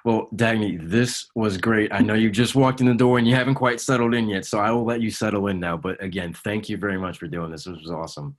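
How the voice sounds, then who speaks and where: A man hosting a casual interview, friendly and quick to affirm his guest. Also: fairly low pitch, fairly even intonation. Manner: friendly, with frequent backchannel affirmations. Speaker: interview host.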